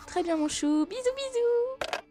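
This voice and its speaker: A woman's voice: very high voice, lively intonation, unhurried. A woman playing a gleefully villainous, scheming young woman with a theatrical, mock-grandiose delivery in a comedic fan audio drama. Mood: smug, scheming. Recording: music behind the voice.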